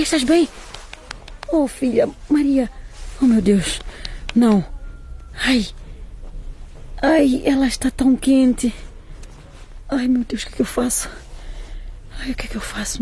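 A woman's voice: high voice, slow, pauses often, dark tone, a little hiss or hum. A woman playing a proud, stubborn, hard-working mother in a radio drama, brusque and indignant in her delivery. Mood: panicked. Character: proud, stubborn, struggling market-vendor mother, scolding and suspicious.

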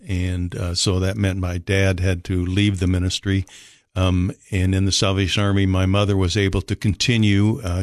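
Older man, minnesota accent, lowish voice, dry close sound, unhurried polite storyteller. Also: no echo. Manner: unhurried, polite, frequent fillers. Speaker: rescue mission director, guest. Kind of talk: relaxed storytelling interview.

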